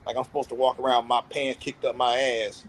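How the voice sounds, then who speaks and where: Thirties man, podcast panelist: earnest, self-reflective, medium pitch, candid casual talk. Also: flat delivery, brisk, a little hiss or hum. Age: 30s. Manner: earnest, self-reflective, opens up about feelings.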